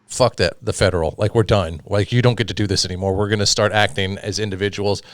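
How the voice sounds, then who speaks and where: Smooth low-voiced man chatting bluntly. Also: steady tone, fast, dry recording. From Georgia, US. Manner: blunt, heavily profane. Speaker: podcast host. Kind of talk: casual chat.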